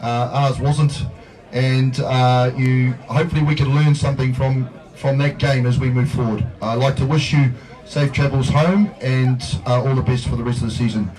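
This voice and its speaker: A man with a New Zealand accent, a rugby coach giving a humble, halting post-match speech. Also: mid-range voice, fairly even intonation. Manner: humble, halting, with many fillers. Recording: distant mic in loud crowded room.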